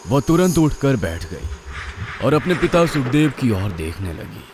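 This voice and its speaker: A man's voice: medium pitch, sing-song, unhurried, noisy background. A man narrating a scripted horror story in a dramatic storytelling style. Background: music.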